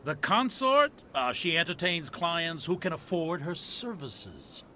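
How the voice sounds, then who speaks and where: A man's voice: fairly high pitch, big pitch swings, a little slow, pushed and bright, a little hiss or hum, heavily acted. A man playing a chatty, obliging attendant in a video game, with a gossipy way of talking. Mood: chatty, helpful.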